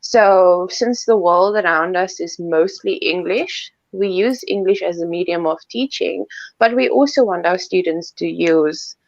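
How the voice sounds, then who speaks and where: A South African woman whose first language is Afrikaans, explaining school policy in a relaxed, conversational way during a live online Q&A. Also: fairly low pitch, measured pace, no room sound, muffled recording. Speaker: school staff member.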